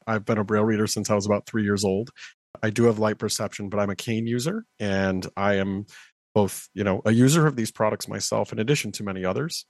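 A man's voice: fairly low pitch, no room sound. A man giving enthusiastic, chatty explanations as a guest in an interview, with occasional fillers. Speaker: director of partnerships guest. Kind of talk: casual interview talk.

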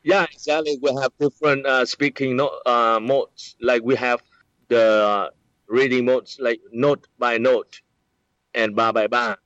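A man's voice: medium pitch, fairly even intonation, measured pace, fairly bright tone, dry recording. English speaking guy, lots of uh and um, kinda muffled dry audio. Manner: hesitant, many fillers and restarts. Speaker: app developer, interviewee. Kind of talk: explaining, casual interview. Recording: slightly dull, lo-fi sound.

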